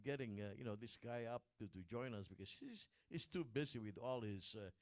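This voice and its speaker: A man hosting a live stream, joking with a guest in halting speech full of restarts. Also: mid-range voice, animated, darkish tone, no room sound. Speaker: host.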